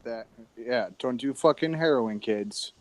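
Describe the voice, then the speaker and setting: A man's voice: medium pitch, unhurried, no room sound. A man in a casual podcast chat, laid-back and swearing constantly.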